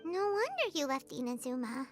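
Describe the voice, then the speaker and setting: A tiny game fairy girl, chirpy and curious. Her childlike voice is extremely high and squeaky, swinging widely in pitch as she exclaims. Music plays clearly behind her. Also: big pitch swings, moderate pace. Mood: sympathetic. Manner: refers to herself in third person, exclaims. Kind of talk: video game character acting. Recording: background music under the voice.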